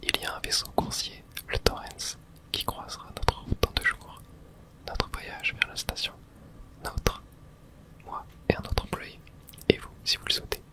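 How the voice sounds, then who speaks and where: An ASMR gaming host speaks entirely in a breathy whisper. The delivery is gentle and unhurried, set in short phrases close to the microphone. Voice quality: soft breathy unvoiced whisper. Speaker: video game streamer and host. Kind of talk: whispered ASMR game playthrough.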